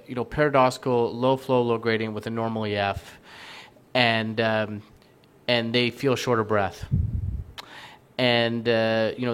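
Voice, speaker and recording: A man on a medical panel with a smooth, resonant voice. He speaks thoughtfully and pauses to find the right words. His pitch stays in an ordinary middle range. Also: fairly bright tone. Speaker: panelist physician. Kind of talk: panel discussion.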